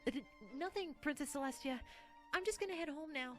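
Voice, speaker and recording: Woman voicing a smug, jealous young pony student, high-pitched. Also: fairly quick, music in the background, lightly acted. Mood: sulky muttering. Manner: smug, grumbling. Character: ambitious, jealous young female pony student, boastful and sulky.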